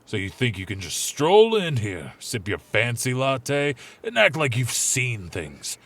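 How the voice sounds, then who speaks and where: Outraged forties blowhard, comedy audio drama: melodramatic interrogator, big swings in pitch. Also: male voice, huge pitch swings, unhurried, a bit bright, heavily acted. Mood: bitter, grandiose. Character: indignant forties man, self-styled bakery factory veteran.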